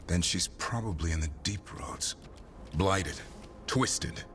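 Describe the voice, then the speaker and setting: An actor playing a steady, gruff male warden in a fantasy video game, terse and calm. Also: low voice, takes it slowly, slight background noise. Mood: grim.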